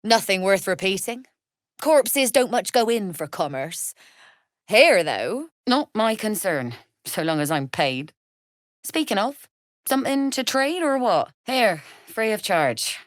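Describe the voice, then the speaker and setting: A woman's voice: medium pitch, very animated, slow pace, dry recording, studio quality. A woman playing a shrewd, mercenary crime boss in a video game, wry and self-assured with cool menace. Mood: wry, businesslike. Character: shrewd, mercenary female Zhentarim smuggler boss, threatening and wry. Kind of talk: video game character voice lines.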